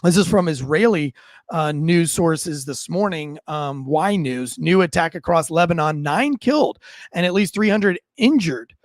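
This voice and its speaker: A man with a noticeably high voice and an American accent delivers informal news commentary. He talks conversationally, mixing read-out reports with his own asides. The sound is dry and close, with little room in it. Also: expressive, measured pace, dry recording.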